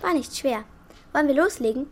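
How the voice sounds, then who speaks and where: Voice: high voice, animated, fairly quick. A performer playing a bold, talkative schoolgirl with a direct manner in a children's radio play. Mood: forthright chatty. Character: Hülya, bold, chatty, forthright schoolgirl.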